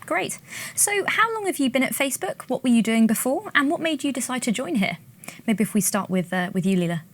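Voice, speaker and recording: A woman hosting a live panel, delivering scripted introductions and questions in an upbeat, brisk manner. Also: sits high, sing-song. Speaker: host, sourcing lead.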